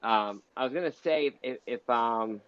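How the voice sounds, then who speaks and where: A man's voice: mid-range voice, moderate pace, fairly bright tone, smooth, no room sound. A man hosting a casual live audio interview, hesitant, often circling back to restart his phrasing. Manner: hesitant, circling phrasing with repeated false starts. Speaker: interview host. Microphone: online voice call. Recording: muffled, noisy online audio chat.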